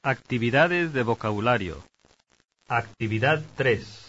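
A man's voice: mid-range voice, animated, unhurried, a bit bright. A man announcing activities and reading lines for a language course. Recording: dull lo-fi tape audio.

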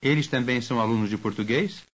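A man voicing a polite male teacher in a scripted course dialogue, speaking slowly and clearly. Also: mid-range voice, natural voice. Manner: slow, clear, carefully enunciated. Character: male teacher greeting a student. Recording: dull lo-fi course tape.

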